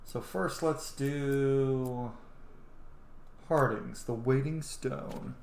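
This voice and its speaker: A man chats casually through a role-playing game as its host, reacting excitably and swearing freely. His voice sits at a middling pitch in a roomy, echoing space, with clear noise behind him. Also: very slow. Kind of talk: casual let's play commentary. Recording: roomy echo, clearly noisy background.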